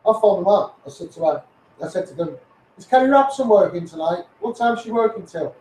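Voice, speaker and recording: A man's voice: sits high, animated, brisk, a little mellow, roomy sound, poor audio. A man with a Scouse accent giving a blunt, sweary, taunting rant to his online followers. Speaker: social media personality.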